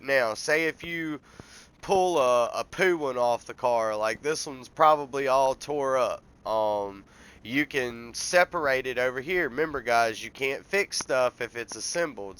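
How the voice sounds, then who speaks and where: A man's voice: mid-range voice, a bit bright, slight background noise. A man, congested from a cold, casually walking through a car-repair game step by step in a rambling, folksy way. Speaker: gameplay tutorial host.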